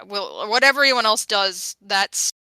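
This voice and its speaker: Very bright-voiced woman playing a tabletop game. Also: mid-range voice, big pitch swings, a little slow, smooth, no echo. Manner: informal, frequent "like". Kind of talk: casual in-game chat.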